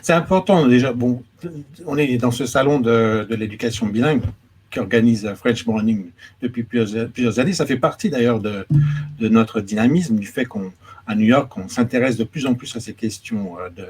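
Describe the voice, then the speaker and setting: A man's voice: mid-range voice, normal speed, darkish tone, breathy edge. A man interviewing an author, speaking hesitantly and repeating words as he builds his questions. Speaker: interviewer, education attaché. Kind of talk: moderated conversation. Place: New York.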